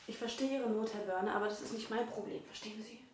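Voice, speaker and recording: A woman's voice: a little high, fairly even intonation, quick pace, lightly acted. An actress playing a guarded woman in her twenties, curt and controlled, in naturalistic radio drama style. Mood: firm, controlled. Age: 20s. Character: guarded young drug dealer woman, evasive and firm. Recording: echoey room, faint noise.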